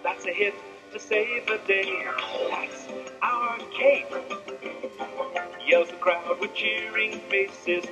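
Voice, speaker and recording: Male singer, high and unhurried, children's song over music. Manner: clear, slow, cheerful sing-along delivery. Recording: lo-fi old song track. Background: band music.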